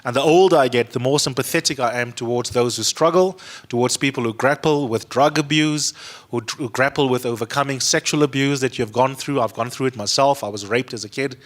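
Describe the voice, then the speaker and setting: A man's voice: medium pitch, a little fast. Earnest South African man in his thirties. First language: Afrikaans. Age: 30s. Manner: earnest, emphatic, repeats words for stress. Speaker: panel speaker. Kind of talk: speech to a youth audience. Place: museum auditorium with a seated audience. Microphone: handheld mic.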